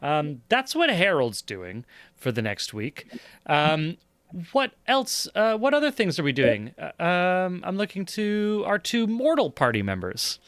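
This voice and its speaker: A man running a tabletop role-playing game as game master, narrating with many pauses and fillers. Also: high-pitched, wildly swooping pitch, a bit bright, clear, resonant tone, no room sound.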